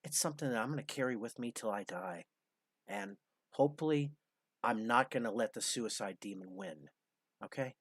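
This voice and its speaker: A man's voice: mid-range voice, lively intonation, moderate pace, a bit bright, slightly rough, no echo, studio quality. A man in his fifties hosting a casual commentary, blunt, sarcastic and profane. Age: 50s. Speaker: host.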